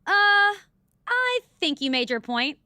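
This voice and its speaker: A woman's voice: very high voice, very animated, slow pace, bright, forceful tone, exaggerated voice. A woman playing a snarky, condescending wrestling show co-host in a video game cutscene. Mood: mocking. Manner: sarcastic, haughty.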